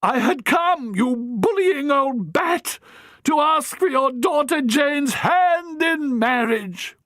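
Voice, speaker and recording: A man's voice: very high voice, expressive, slow, fairly bright tone, dry recording, clean studio sound, pitched above the performer's own voice, exaggerated voice. A reader voicing a flustered, stammering young man pleading desperately.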